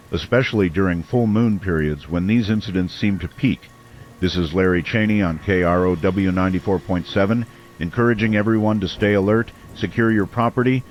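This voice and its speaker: An actor playing a grave, measured small-town American radio host in a scripted radio drama, warning his community. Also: sits low, close to an everyday voice. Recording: dull lo-fi sound, faint noise.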